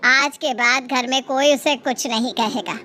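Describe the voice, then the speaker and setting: A woman voicing a patient, forgiving older mother-in-law in a story, motherly and gently firm. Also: high-pitched, a little slow, a little hiss or hum. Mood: firm, calming.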